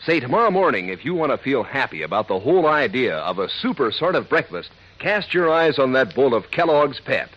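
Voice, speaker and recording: A folksy male announcer pitches to young listeners in 1940s radio ad style. His voice is husky and swings widely in pitch, on a dull, old broadcast recording. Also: sing-song, brisk, few pauses, a bit bright. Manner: chummy, folksy, emphatic. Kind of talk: 1940s radio cereal advertisement.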